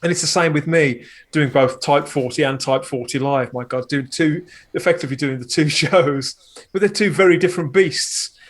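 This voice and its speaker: Higher-voiced man in casual podcast chat. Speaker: guest podcaster.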